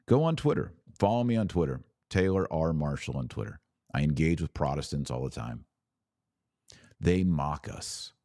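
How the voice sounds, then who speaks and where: Very deep-voiced American man, earnest and preachy. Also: lively intonation, normal speed, mellow, no echo, studio quality. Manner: earnest, emphatic, builds lists phrase by phrase. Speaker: podcast host and religious commentator. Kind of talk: preachy live-stream commentary, part sermon and part chat.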